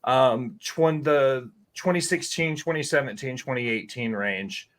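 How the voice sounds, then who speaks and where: A man's voice: mid-range voice, unhurried, a bit bright. Man, 30s, chatty guest chef, candid storyteller, salty language. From Tennessee. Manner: candid, rambling storyteller who swears freely. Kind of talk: casual interview talk.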